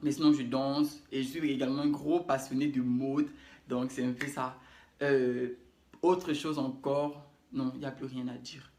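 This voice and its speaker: A man's voice: medium pitch, little pitch movement, normal speed, a little mellow. Young Cameroonian man in an echoey room. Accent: Cameroonian French. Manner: rambling, repeats phrases, self-correcting. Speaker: YouTuber. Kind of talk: casual self-introduction vlog.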